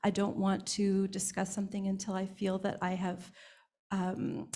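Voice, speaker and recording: A woman's voice: mid-range voice, monotone, normal speed, warm tone. A woman panelist answering a question in a candid, reflective way. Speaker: symposium panelist.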